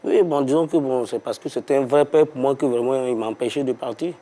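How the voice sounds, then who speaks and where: Man, former footballer, hesitant, relaxed. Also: mid-range voice, a little fast. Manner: hesitant, laid-back answers with many fillers. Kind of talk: interview answers.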